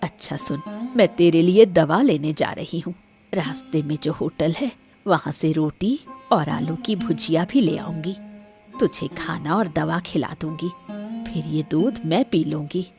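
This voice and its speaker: A woman's voice: sits low, measured pace, warm tone, soft background music. A woman playing a caring, helpless old grandmother in a cartoon moral story, soothing and pleading. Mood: coaxing, tender. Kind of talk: Hindi cartoon story dialogue.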